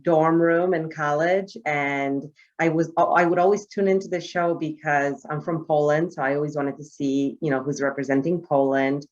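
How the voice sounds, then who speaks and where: A woman's voice: deep voice, dull audio. A woman, giving a chatty webinar talk as a travel sales trainer, with many fillers and restarts. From United States. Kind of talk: webinar presentation.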